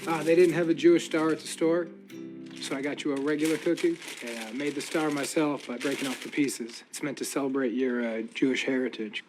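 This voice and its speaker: A man's voice: fairly high pitch, fairly even intonation, a little fast, music in the background, natural voice, rough recording. A man acting a sentimental, defensive guy in a sitcom, rambling and repeating himself casually. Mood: sheepish. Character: sentimental, defensive, scruffy-mannered man, emotional with his friend. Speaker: actor in a TV sitcom.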